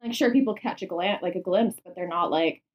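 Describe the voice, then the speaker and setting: A woman's voice: on the low side, brisk. A woman hosting a podcast from a bedroom, upbeat and giggly, laughing often. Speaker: podcast host. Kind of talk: casual podcast chat.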